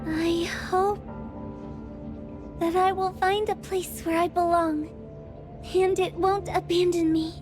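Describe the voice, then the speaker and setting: A feisty young anime game girl, extremely high-pitched and unhurried. She is blunt and pouty, with music clearly playing behind her. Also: little pitch movement. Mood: wistful.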